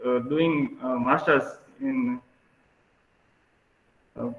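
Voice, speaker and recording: A man's voice: medium pitch, sing-song, vocal fry. Hesitant young Indian man in echoey room. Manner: halting, hesitant, restarts phrases. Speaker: engineering student. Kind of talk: spoken self-introduction. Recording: tinny phone-like audio, echoey room.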